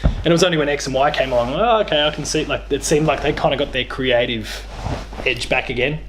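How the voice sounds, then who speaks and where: A man's voice: medium pitch, fast talker, fairly bright tone. A man in his thirties with an Australian accent, chatting casually as he hosts an interview. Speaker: host interviewing a guest. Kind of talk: casual chat about video games. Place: small living room, on a couch. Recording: clear background room noise.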